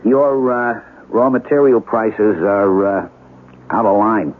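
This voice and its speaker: An actor playing a weary, blunt business buyer in a radio drama, matter-of-fact with a sardonic edge. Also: male voice, on the low side, slow pace. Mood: resigned, sardonic. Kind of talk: 1970s radio drama acting. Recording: tinny old radio broadcast.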